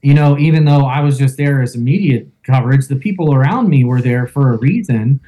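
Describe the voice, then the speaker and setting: A man with a loud voice, a guest in an interview, telling a first-person account with fillers like "um" and "you know". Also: mid-range voice, fairly quick, low-quality recording. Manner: storytelling with fillers and reenacted quotes.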